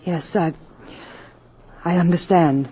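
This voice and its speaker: A woman's voice: lowish voice, steady tone, slow, dark tone, husky. An actress playing an anxious, earnest woman in a 1950s radio drama, hesitant and pleading. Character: anxious, earnest woman protecting a secret visitor. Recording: dull vintage radio recording.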